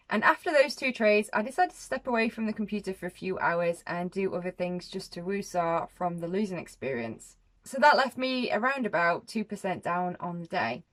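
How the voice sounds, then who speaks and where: Chatty woman recapping her trades. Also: mid-range voice. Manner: chatty and reflective, with small laughs. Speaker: forex trading vlogger. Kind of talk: casual trade recap to camera.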